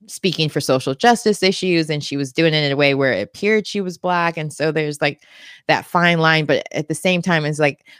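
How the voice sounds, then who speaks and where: A woman's voice: a bass voice, a bit bright, clear, resonant tone, dry recording, studio quality. A woman hosting a podcast, talking casually and rambling with hedges. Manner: rambling, hedging with fillers, laughs. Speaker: podcast host. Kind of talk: casual interview chat.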